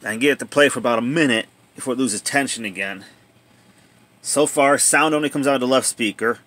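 A man giving casual, plainspoken commentary on a handheld video, thinking aloud in a halting way as a hobbyist showing a repair. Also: medium pitch, a bit bright. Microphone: handheld phone or camera.

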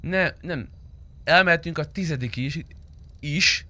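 A man's voice: on the high side, pushed and bright, dry recording. A young man streaming an online roleplay game, negotiating in casual, slangy, insistent talk with some swearing, on a close microphone. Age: young adult. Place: indoor streaming room.

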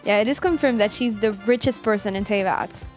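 A woman's voice: mid-range voice, fairly quick. A woman in her twenties, a game streamer, chatting casually while she plays. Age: 20s. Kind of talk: casual live chat while gaming. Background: game music.

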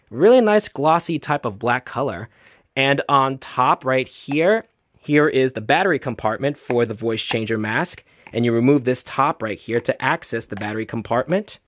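Male reviewer, mid-pitched voice, chatty show-and-tell, enthusiastic, repetitive emphasis, dry close recording. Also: dry recording. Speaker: toy reviewer.

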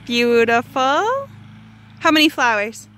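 A woman with a high, very animated voice chats with a small child in a backyard garden. She prompts with encouraging questions and echoes back the answers. It is a casual phone recording with a little outdoor noise behind it. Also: takes it slowly. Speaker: mother filming a garden tour with a young child. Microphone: handheld phone camera held by the speaker.

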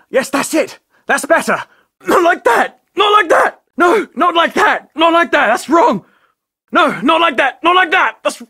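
Game role: a joking British tour guide, young, bright tense voice, lively pitch. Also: male voice, no room sound, studio quality, heavily acted. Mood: panicked. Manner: sarcastic, joking. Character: wry, cash-strapped young male tour guide, injured. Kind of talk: video game character voice lines.

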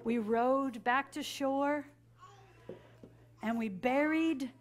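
A high-voiced woman tells a story to young children. She is dramatic and animated, inviting them to repeat lines as she goes. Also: drawn-out words, slight background noise. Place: children's library story room with an audience, indoors.

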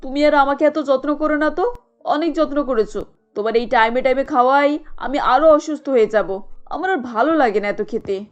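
A matronly cartoon mother-in-law voiced by a woman, high in pitch over background music. She is blunt and bossy, yet fond of her family. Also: normal speed, highs cut off, close to an everyday voice. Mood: fed up. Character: fault-finding middle-aged mother-in-law, bossy but fond. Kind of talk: Bengali cartoon family drama acting.

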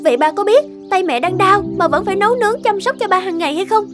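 An actress playing an earnest, worried young adult daughter, protective of her parents, in an animated drama. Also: extremely high pitch, fast talker, over music. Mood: reproachful. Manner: earnest, pleading.

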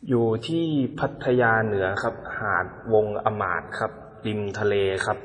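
A man making an amateur radio call in speech, deliberately repeating call signs and details in short polite exchanges. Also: lowish voice, a bit bright, in a large hall.

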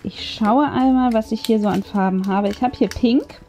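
A woman's voice: a little high, moderate pace, darkish tone. A woman giving casual craft tutorial commentary, chatty and thinking aloud as she works. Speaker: craft video host. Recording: music behind the voice. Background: background music.

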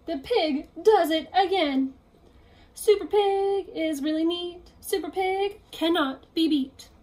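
Storybook little female pig played by a woman: high, excitable, sing-song, show-off, fun-loving. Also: slow pace. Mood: boastful triumph. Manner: exclaiming, sing-song, gleeful. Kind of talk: children's picture-book read-aloud.

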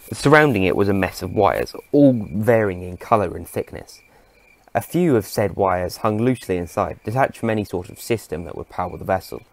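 A man's voice: fairly low pitch, no room sound. A man narrating a scripted adventure story as its storyteller.

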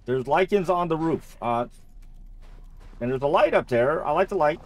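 A man giving casual let's-play commentary, thinking out loud and repeating himself as he goes. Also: a little high, expressive, moderate pace. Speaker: gamer playing and commenting on a horror game.

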